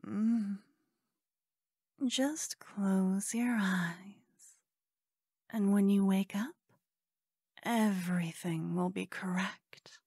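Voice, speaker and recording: A woman's voice: medium pitch, steady tone, drawn-out words, pauses often, a little mellow, breathy edge, dry recording, studio quality, heavily acted. A woman playing a cute, obsessed, scheming yandere con woman in an ASMR roleplay, coy and cooing, often slipping into whispers. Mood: tender, possessive.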